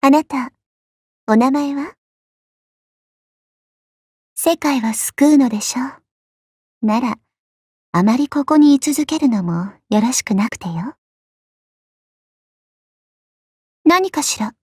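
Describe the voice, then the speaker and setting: Game goddess, small and youthful: high, breathy, unhurried, coy, elegant, haughty tease. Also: female voice, lively intonation, dry recording, clean studio sound, full character voice. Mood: coy, teasing. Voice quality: soft, breathy. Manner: elegant, coy, slow, refined ladylike speech. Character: petite, teasing, haughty young-looking immortal goddess. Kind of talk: Japanese mobile game character voice lines.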